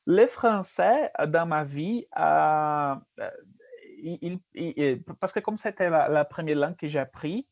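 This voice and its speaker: A man teaching French in a relaxed conversation, patiently correcting and repeating phrases slowly. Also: fairly high pitch, creaky, highs cut off. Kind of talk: conversational language lesson.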